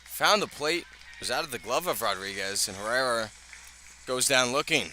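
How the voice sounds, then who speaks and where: Bright-voiced male baseball radio announcer. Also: medium pitch, lively intonation, moderate pace, poor audio. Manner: conversational, with casual asides. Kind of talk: live radio sports commentary. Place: outdoor ballpark. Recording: clear ambient outdoor noise.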